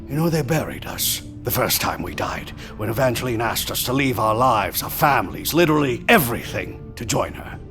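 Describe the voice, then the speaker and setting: An ageless man, centuries old and utterly disillusioned. He speaks at a middle pitch with weary, sardonic bitterness. Soft music sits behind this audio drama scene. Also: animated, fairly quick, creaky. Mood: bitter, wounded.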